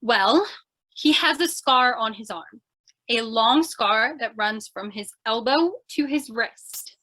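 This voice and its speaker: A woman's voice: on the high side, animated, slow, bright, forceful tone, heavily acted, low-quality recording. A woman playing a plucky, scatterbrained reporter in danger in an old-time radio screwball drama, chattery and theatrical with a naive air. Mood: eager, placating.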